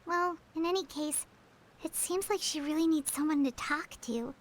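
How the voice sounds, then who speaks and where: An actress voicing a small, chatty fairy companion in a game, talking in an earnest way. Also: female voice, high-pitched, normal speed, natural voice. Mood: gentle. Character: small chatty fairy companion, speaks of herself in third person. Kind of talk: game cutscene voice acting.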